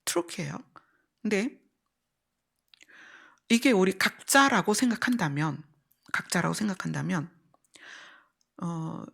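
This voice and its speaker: A woman's voice: medium pitch, vocal fry. A woman pastor preaching and teaching, explaining step by step, repeating key phrases and putting questions to her listeners. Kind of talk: church sermon with teaching and illustrations.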